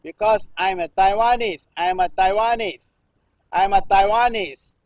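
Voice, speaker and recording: A man in his sixties from Singapore, a performance artist reading news text aloud in halting runs, restarting phrases and repeating a refrain. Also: high voice, no echo. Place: temple-like cage at an art festival.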